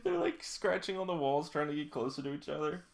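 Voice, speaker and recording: A read-along host giving casual, excitable and amused reactions to a comic. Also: medium pitch, brisk, vocal fry. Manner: excitable, amused, gasping and exclaiming.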